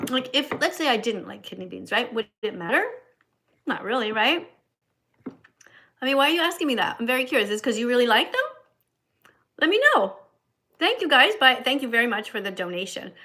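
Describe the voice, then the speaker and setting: Woman streamer, fairly high, bright and forceful, chatty with viewers. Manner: chatty, reads viewer comments and thanks donors. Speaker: livestream host. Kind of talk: casual livestream chat.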